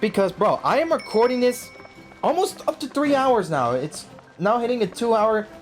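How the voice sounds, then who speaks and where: Gaming host: young man, informal slangy reactions, very lively intonation, game music behind. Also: very high voice, normal speed. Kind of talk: casual reaction commentary over gameplay.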